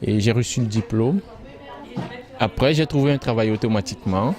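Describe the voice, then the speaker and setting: A man from Togo speaking hesitantly in an interview, pausing often on fillers. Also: medium pitch, a little mellow, a faint crowd. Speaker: former student. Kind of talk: interview testimony.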